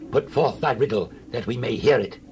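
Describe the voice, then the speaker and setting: A man's voice: medium pitch, moderate pace, darkish tone, slight background noise, lightly acted. A man playing a sly, threatening Philistine in a dramatized Bible reading. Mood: challenging.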